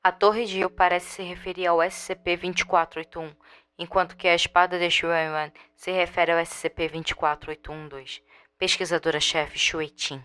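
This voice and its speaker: A woman's voice: on the low side, level tone, moderate pace, sharp tone, smooth. A woman playing a research lead who reads a clinical note in a formal, matter-of-fact way. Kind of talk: scripted narration.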